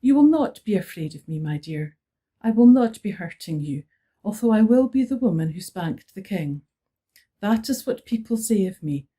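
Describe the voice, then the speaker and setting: A woman voicing a stately old Highland widow with a rich, powerful voice and a lilting Scottish Highland accent. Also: animated, normal speed, mellow, slightly rough.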